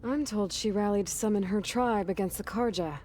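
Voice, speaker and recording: A woman's voice: medium pitch, moderate pace, darkish tone, natural voice. An actress playing an earnest young woman warrior in a video game, direct and plain-spoken. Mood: matter-of-fact. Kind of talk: naturalistic video game acting.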